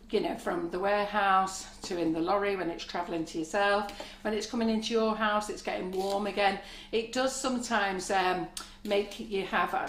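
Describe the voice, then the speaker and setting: An older woman teaching a baking class from her kitchen, chatty and relaxed in a soothing teaching manner. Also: mid-range voice. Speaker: cake decorating teacher. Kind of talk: live baking class demonstration. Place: home kitchen. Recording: echoey kitchen, faint noise.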